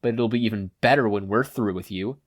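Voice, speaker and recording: A man voicing a gruff, bullying male gangster with a jeering manner. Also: on the low side, fairly quick, lightly acted. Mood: menacing glee. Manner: grunting, jeering.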